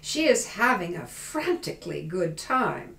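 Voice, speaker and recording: A woman reads a scripted stage introduction at a slow pace. Her pitch moves expressively, and the room echoes around her. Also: big pitch swings, vocal fry, bright, forceful tone, far from the mic. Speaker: introducer of a dramatic reading. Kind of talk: scripted introduction read aloud.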